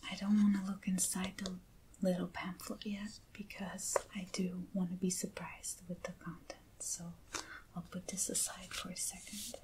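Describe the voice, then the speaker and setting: A woman speaking in a hushed, breathy whisper in a gentle ASMR style, close to the microphone. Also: fairly low pitch, level tone, normal speed, rough recording. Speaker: ASMR video host. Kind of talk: soft ASMR unboxing talk. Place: small indoor set at a table. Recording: clear background hiss.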